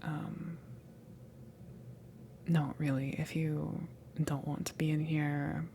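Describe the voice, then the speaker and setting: A woman playing a flustered, self-conscious alien crewmember in an ASMR roleplay, breathy and stammering, smitten with the listener. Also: low-pitched, level tone, warm tone, slight background noise, exaggerated voice. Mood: flustered, hesitant. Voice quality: breathy, creaky, often whispered. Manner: hesitant, stammering, slow, awkward.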